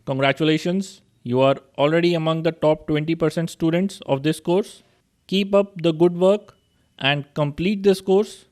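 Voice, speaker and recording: A young man with an Indian accent, narrating an online course tutorial and speaking straight to students in a steady explanatory way. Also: on the high side. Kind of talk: screen-recorded tutorial narration.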